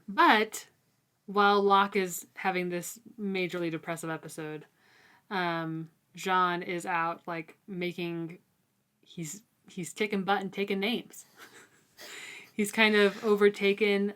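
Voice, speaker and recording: A woman with a slightly low, clean and resonant voice, hosting a casual book discussion. She speaks informally and thoughtfully, working through her points in a conversational way. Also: level tone, slow, a bit bright, clear, resonant tone. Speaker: podcast host.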